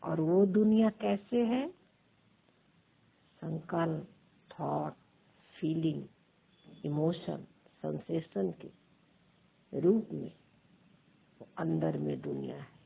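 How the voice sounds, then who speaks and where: A woman leads a guided meditation as a teacher, pausing between short, measured phrases in a husky, creaky voice. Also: low-pitched, big pitch swings. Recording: thin phone-like sound.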